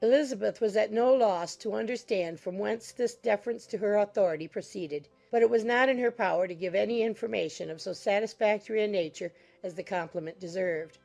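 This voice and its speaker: A woman with a mid-pitched voice narrates a classic novel. She reads formal literary prose in a measured way, with faint music running beneath her. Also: vocal fry, a little mellow. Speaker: audiobook narrator.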